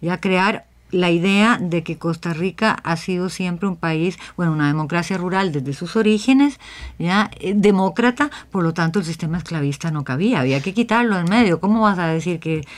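A woman's voice: fairly low pitch, normal speed. A woman from Chile, explaining her ideas in a radio discussion and often adding short check-in tags. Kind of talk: reflective discussion in a radio interview.

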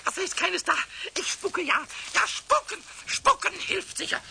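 A man voicing a cheeky, excitable little male kobold in a children's radio play, with a squeaky voice, babbling and repeating words to himself. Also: moderate pace, heavily acted. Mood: panicked. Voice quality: squeaky, shrill, creaky. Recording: hissy 1970s radio play tape.

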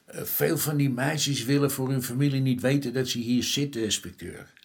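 An actor playing an easygoing, down-to-earth junior police detective in a radio drama, informal and matter-of-fact. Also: male voice, medium pitch, normal speed, gravelly, husky. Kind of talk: naturalistic Dutch radio drama acting.

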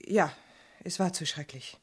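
A woman's voice: fairly low pitch, a little slow, husky. An actress playing a shaken, fearful, respectable woman in a German radio crime play, speaking in a hesitant, weary way. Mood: anxious, shaken.

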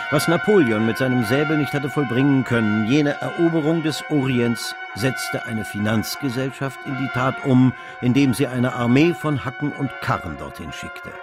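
A man's voice: medium pitch, natural voice. A man narrating a literary radio drama as an eloquent storyteller. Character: eloquent storyteller chronicling a financial frenzy.

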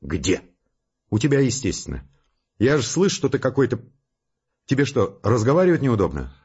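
An actor playing a harried, irritable male scientist with a gruff, sarcastic, slangy way of talking in an audio drama. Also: medium pitch, very animated, normal speed, a little mellow, muffled recording. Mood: puzzled, concerned.

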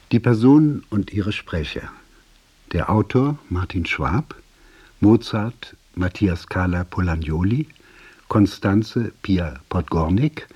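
A man formally and deliberately announcing the title and credits of a radio play. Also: low-pitched, darkish tone, slightly rough.

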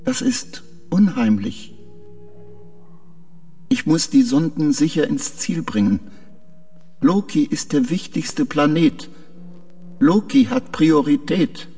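A man voicing a confused, dreamy artificial mind of a spaceship in a radio play, speaking haltingly in short, simple sentences with a creaky voice. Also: on the high side, very animated, mellow, faint music behind. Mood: dreamy, uneasy. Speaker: radio drama actor. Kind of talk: German radio play dialogue acting.

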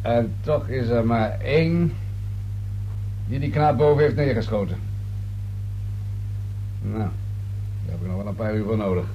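An actor playing a wry, methodical police inspector in a radio drama, politely probing in a musing, unhurried way. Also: male voice, medium pitch, highs cut off, natural voice. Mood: quietly insistent. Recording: hum and steady noise behind voice.

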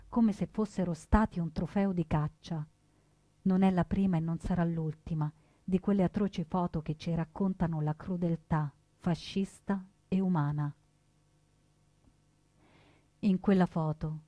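A woman delivering solemn, emphatic scripted narration for radio theatre, with deliberate dramatic phrasing. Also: lowish voice, warm tone, dry recording. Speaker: actress and narrator.

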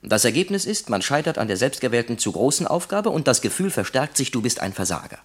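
Husky, animated factory psychologist. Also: male voice, mid-range voice, fast. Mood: analytical. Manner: analytical, pedantic. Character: detached, lecturing male factory psychologist, wryly sceptical. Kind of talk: German radio play acting.

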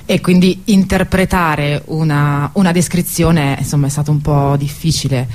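A woman's voice: sits low, steady tone, normal speed, darkish tone. A woman speaking as a guest in a radio interview, reflective and somewhat hesitant as she works out her thoughts. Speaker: stage actress, guest. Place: radio studio.

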